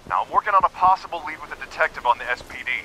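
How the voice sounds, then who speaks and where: An actor playing a protective, exasperated older brother in a video game, chiding in a natural conversational way. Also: male voice, on the high side, lively intonation, rapid-fire, pushed and bright, slight background noise, rough recording. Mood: firm, exasperated. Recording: game music behind dialogue.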